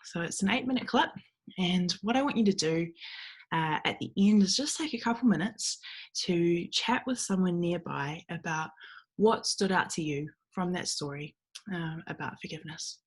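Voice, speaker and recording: A young woman with a New Zealand accent giving a relaxed, chatty recorded talk to a church group from home, with frequent ums. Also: fairly low pitch, normal speed, husky. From Fiji. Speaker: guest speaker at a ministry meeting.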